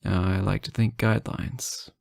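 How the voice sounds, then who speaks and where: A man playing a sleep-deprived, teasing boyfriend on an intimate late-night call, soft-spoken, hesitant and gently joking. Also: on the low side, flat delivery, normal speed, creaky, no room sound, studio quality. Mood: tired, defensive. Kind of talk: intimate audio roleplay, one side of a phone call.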